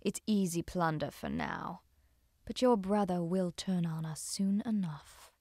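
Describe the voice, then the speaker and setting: A woman's voice: fairly low pitch, unhurried, mellow, dry recording, studio quality. An actress playing a composed female pirate strategist in a video game, speaking with poised, controlled authority. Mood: cool, wary. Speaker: video game character giving strategic briefings. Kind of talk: dramatic game cutscene acting.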